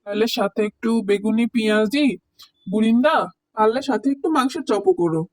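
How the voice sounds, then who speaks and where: A woman's voice: a little high, normal speed, a little mellow, close to an everyday voice, low-quality recording. A woman voicing a meek young husband who pleads and placates in a comic cartoon, with a Bangladeshi accent. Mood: easygoing. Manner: pleading, resigned.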